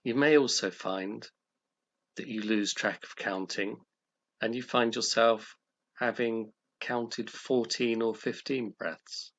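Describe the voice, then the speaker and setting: A man leading a guided meditation, speaking in a calm, gentle, measured way with pauses between phrases. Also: mid-range voice.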